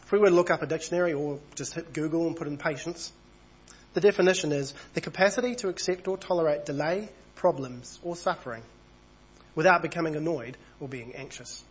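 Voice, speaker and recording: A man over thirty-five, husky from a cold, preaching an evening church sermon in a conversational way and reading passages aloud. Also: fairly high pitch, a little fast, dull audio. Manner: conversational, reads passages aloud, small asides.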